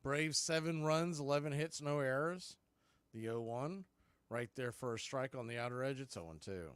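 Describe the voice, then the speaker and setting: A man's voice: mid-range voice, moderate pace, clean tone, dry recording. A man doing radio baseball play-by-play as the announcer, speaking in short, clipped phrases.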